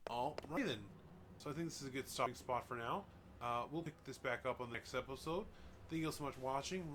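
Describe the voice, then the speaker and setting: A man's voice: medium pitch, fast talker, a little hiss or hum. A man hosting a game playthrough, reading on-screen dialogue aloud in a casual way, tripping over names and adding chatty asides. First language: English. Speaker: gaming channel host. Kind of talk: let's play, reading game text aloud. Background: loud music.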